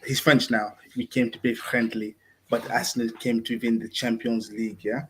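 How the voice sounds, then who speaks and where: A man's voice: medium pitch, flat delivery, normal speed, fairly bright tone, slight background noise, rough recording. A man co-hosting a casual football live stream, building his argument point by point and checking in with "yeah?" after almost every line. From family partly Ghanaian. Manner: talks in rhetorical questions ending in "yeah?". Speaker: co-host of football podcast.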